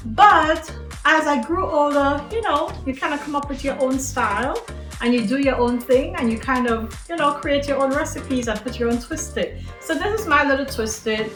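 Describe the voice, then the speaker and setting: Woman from trinidad and tobago doing a cooking video, higher voice, chatty, echoey kitchen, soft music. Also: normal speed. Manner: warm, chatty, addresses viewers as friends. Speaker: cooking show host. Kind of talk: home cooking demonstration.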